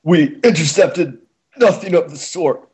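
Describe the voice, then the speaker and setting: Man acting out a strained, high-voiced stallion prisoner. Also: a little slow, darkish tone, lightly acted. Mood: strained, defiant. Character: gasping stallion prisoner, desperate and defiant. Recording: noisy amateur mic recording.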